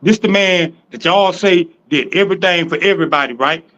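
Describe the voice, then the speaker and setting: Southern man, panel guest, loud and insistent, highish voice, lo-fi call. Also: brisk. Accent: Southern American. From American South. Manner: loud, insistent, talks over others, swears. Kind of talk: heated panel argument.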